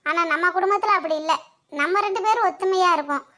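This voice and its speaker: A performer voicing an excitable, whiny young daughter-in-law with a Tamil accent in a comic cartoon soap parody. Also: extremely high pitch, fast. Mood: complaining.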